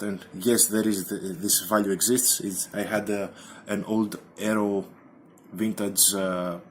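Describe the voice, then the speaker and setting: A man giving an unscripted technical explanation as a hobbyist presenter, halting and hesitant, with many ums. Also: fairly low pitch, slight background noise.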